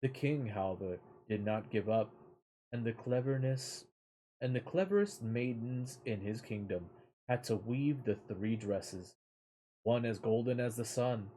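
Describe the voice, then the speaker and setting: A man reading a fairy tale aloud as an amateur storyteller, sometimes halting over the words. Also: medium pitch, lively intonation, dark tone, breathy edge, low-quality recording.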